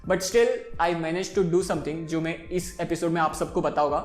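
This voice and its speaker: A man's voice: on the high side, steady tone, measured pace. A man in his twenties with an Indian accent, explaining things informally and directly to viewers as a finance show host, switching between two languages. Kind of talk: explainer to camera, mixing Hindi and English. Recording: roomy echo, faint music behind.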